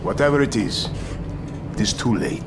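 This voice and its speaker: An actor playing a stern, belligerent Soviet general, blunt and imperious, in a game cutscene. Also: male voice, fairly low pitch, lively intonation, normal speed, noisy background, close to an everyday voice. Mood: sceptical, baffled. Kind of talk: dramatic game cutscene acting. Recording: music behind the dialogue.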